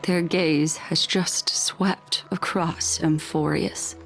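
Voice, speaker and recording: A composed, courteous and mysterious video game character. Her voice is deep for a woman and very slow, with formal, measured phrasing. Faint music sits behind her. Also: steady tone, heavily acted, low-quality recording. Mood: grave, courteous. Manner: formal, measured, soft-spoken.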